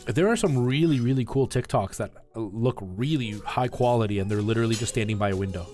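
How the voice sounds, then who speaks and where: A man's voice: mid-range voice, a little fast, darkish tone, faint music behind. A man chatting casually and informally on a live game stream, rambling in asides to his viewers and giving tips.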